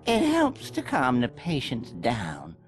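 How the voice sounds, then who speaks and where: An actor voicing a manic, sadistic mad scientist and dentist, taunting and theatrical, in a cartoonish video game. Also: male voice, mid-range voice, huge pitch swings, slow. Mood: gleeful taunting. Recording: loud game music behind.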